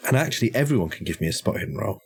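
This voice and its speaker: A man's voice: fairly low pitch, expressive, racing, creaky, breathy edge, soft background music. A man narrating a tabletop horror game as game master, hesitant with many restarts.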